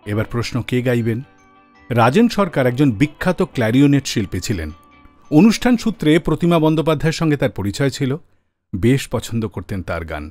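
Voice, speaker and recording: A man's voice: medium pitch, a little mellow, no echo. A man hosting a radio show, telling scripted stories about classic songs.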